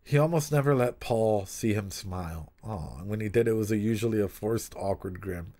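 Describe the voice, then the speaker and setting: Casting: male streamer with a lowish voice, reading aloud and reacting casually, animated and loose with asides. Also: moderate pace. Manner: animated, profane, frequent asides. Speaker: streamer and psychologist commentator.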